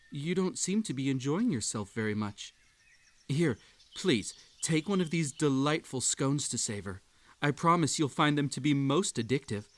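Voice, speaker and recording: An unhinged bunny tea-party host, acted by a man, speaks at an unhurried pace in a medium-pitched voice. The delivery is coaxing and overly polite, with a possessive, unstable edge suited to a sinister roleplay audio drama. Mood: insistent. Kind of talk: scripted roleplay audio drama addressed to the listener.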